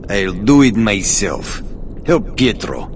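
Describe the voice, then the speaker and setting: An actor playing a grave, formal Russian mutant strongman in a video game. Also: very animated. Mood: resigned. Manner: measured, formal. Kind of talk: video game cutscene acting. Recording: rumbling noise behind.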